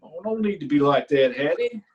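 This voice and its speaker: A man reads a middle-aged country sheriff with a drawl, pitched a little above the usual for a man. He needles the people he questions in a falsely friendly way, and he sounds pleased with himself. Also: expressive, normal speed, lo-fi sound, a little hiss or hum, close to an everyday voice. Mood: smug. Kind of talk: staged play reading.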